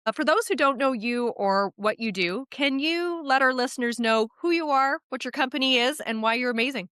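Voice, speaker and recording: A woman's voice: high voice, a little fast, fairly bright tone, smooth, no room sound, studio quality. Enthusiastic woman hosting a podcast. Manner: friendly, enthusiastic. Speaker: podcast host, landscape designer. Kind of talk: casual podcast interview.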